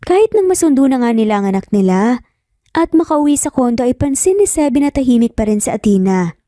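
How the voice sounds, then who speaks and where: A woman's voice: on the high side, expressive, a little fast, mellow, no room sound, clean studio sound. A woman with a Filipino accent reading scripted romance story narration.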